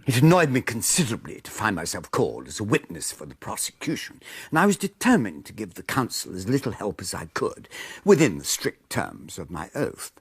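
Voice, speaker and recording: Wry british gent telling a 1920s mystery, husky voice, very up n down, radio play. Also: male voice, lowish voice, wildly swooping pitch, brisk, full character voice. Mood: wry, indignant. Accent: British English. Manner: wry, emphatic. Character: dry, opinionated gentleman witness narrating a 1920s mystery. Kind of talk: radio drama first-person narration.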